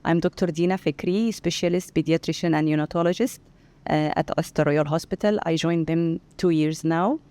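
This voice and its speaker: A woman's voice: sits low, normal speed, dark tone, dry recording. A woman from Egypt, a pediatrician guest, briefly introducing herself in a polite way. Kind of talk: self-introduction in interview.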